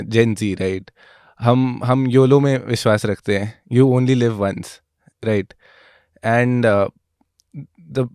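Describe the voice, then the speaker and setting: A man's voice: mid-range voice, a little mellow, clear, resonant tone, dry recording, clean studio sound. A man in his early twenties with an Indian accent, interviewing in a conversational style. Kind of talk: conversational interview, mixing Hindi and English.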